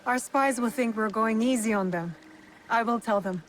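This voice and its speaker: A woman's voice: a little high, normal speed, soft background music, close to an everyday voice, low-quality recording. An actress playing a resolute, principled young female shinobi in a video game, firm and serious. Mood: serious, determined. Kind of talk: video game cutscene acting.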